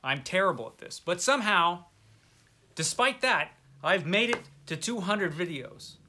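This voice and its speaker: Bright-voiced man chatting casually, wryly self-deprecating. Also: sits high, lively intonation, measured pace. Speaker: host of a book review video channel. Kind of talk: casual chat to camera about books.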